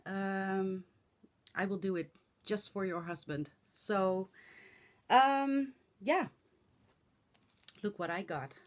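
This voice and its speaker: A middle-aged woman with a Dutch accent, speaking English as a second language, chatting in a hoarse voice to camera in a rambling, halting way with many fillers. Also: medium pitch. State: hoarse from laughing and talking. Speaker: flosstube vlogger. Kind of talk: casual chat to camera.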